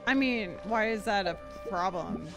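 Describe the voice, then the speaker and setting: A young adult woman streamer chatting casually over gameplay, informal and opinionated, thinking out loud. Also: medium pitch, measured pace, vocal fry, over music. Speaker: game streamer. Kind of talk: casual live gameplay chat.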